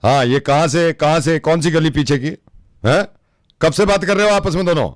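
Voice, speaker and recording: A man's voice: a little high, moderate pace, no echo, clean studio sound, natural voice. A man playing a suspicious, demanding father who interrogates insistently in a comic prank call. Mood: stern, suspicious. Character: suspicious, stern father interrogating daughter's secret boyfriend.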